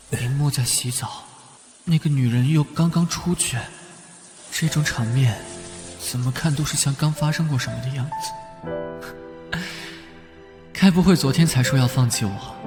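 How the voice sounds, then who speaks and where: A man's voice: mid-range voice, lightly acted. Earnest male detective over music played by an actor. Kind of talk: animated drama acting with inner monologue.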